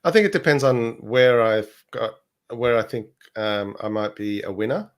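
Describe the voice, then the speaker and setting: A man's voice: medium pitch, moderate pace. A Chilean-born man with an Australian accent co-hosting a podcast, easygoing and hesitant with ums, laughing often. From Chile, raised in Australia. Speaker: podcast co-host. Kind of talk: casual podcast chat.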